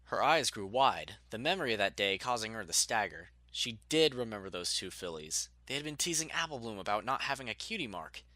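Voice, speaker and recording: A man narrating a scripted story in an expressive storytelling manner. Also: on the low side, brisk, few pauses, dry recording.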